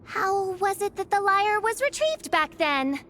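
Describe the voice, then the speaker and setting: A woman's voice: very high-pitched, big pitch swings, unhurried, bright, forceful tone, a little hiss or hum, full character voice. A woman voicing a grandiose young princess in a video game, speaking in pompous, archaic, dramatic phrases and commanding her servants. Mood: wary. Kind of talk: video game character acting.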